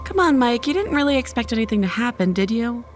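A woman as an expressive, assertive young woman. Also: on the high side, fast talker, faint music behind, lightly acted. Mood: teasing. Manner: direct, expressive. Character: assertive, protective young woman in a seance group. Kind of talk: audio horror drama acting.

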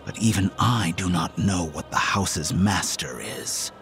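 Low, hushed, disdainful supernatural being. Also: male voice, soft background music. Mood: cryptic, controlled. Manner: slow, formal, cryptic. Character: enigmatic, disdainful supernatural man with a skull cup. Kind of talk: horror audio drama acting.

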